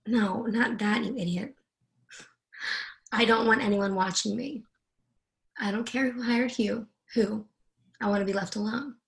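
A woman's voice: on the high side, fairly even intonation, a little slow, gravelly, long pauses, low-quality recording. A woman playing a seductive, controlling married woman in a screenplay read, teasing and commanding by turns. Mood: cold, irritated.

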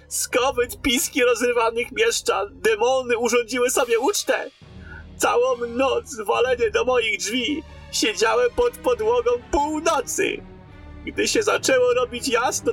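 A man's voice: very high-pitched, vocal fry, a bit bright, heavily acted. A man playing a frightened, plaintive villager, a shaken survivor of a demon attack, in a fantasy radio drama. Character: frightened cowering male villager who survived a demon night.

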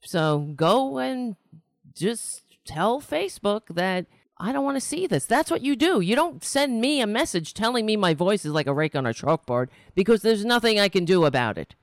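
A woman's voice: mid-range voice, very animated, normal speed, dry recording, studio quality. A woman from New York City hosting a political livestream show, talking casually and launching into an exasperated, sarcastic rant. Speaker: host of a political talk show.

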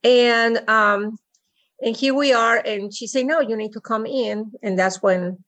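Mexican-accented middle-aged woman, halting speech. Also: a little high, a bit bright. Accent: Mexican Spanish. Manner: halting, many ums and restarts. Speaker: podcast guest. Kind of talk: personal story in an interview. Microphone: remote call.

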